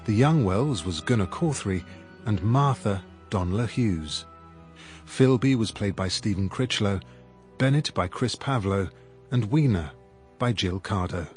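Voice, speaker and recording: A man reading a scripted credits announcement as a radio announcer, in a measured, formal manner. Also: lowish voice, animated, darkish tone. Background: music.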